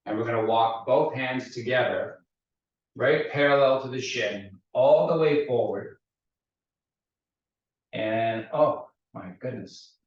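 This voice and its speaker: A male fitness instructor, slightly hoarse, calmly cues each movement of a mat routine. His voice sits at a middling pitch and comes from some way off the microphone. The studio around him rings with a heavy echo, and the sound is a little dull. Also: slow pace, long pauses, distant mic. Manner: calm step-by-step cueing. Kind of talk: guiding a mat mobility class.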